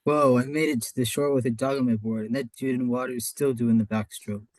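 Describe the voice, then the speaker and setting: A mellow young surfer dude played by a man in a radio play. He talks in casual slang with a flat, level tone, recorded dry. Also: mid-range voice, fairly quick, no echo, lightly acted. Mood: mellow, impressed. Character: laid-back young male surfer dude.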